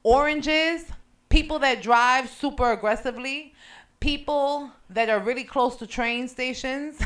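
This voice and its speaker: A woman co-hosting a casual talk show, bantering in a playful, animated, slangy and teasing way. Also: high-pitched, measured pace. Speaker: podcast co-host.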